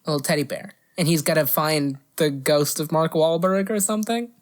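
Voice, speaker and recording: A woman with a slightly low-pitched voice co-hosts a casual movie podcast. She talks loosely and riffs playfully on ideas as a conversation partner would. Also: brisk. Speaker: podcast co-host.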